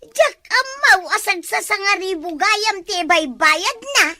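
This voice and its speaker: A woman acting in a comic village drama, with an excitable, exclamatory way of talking. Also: very high-pitched, measured pace, a little hiss or hum. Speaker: comedy drama actor.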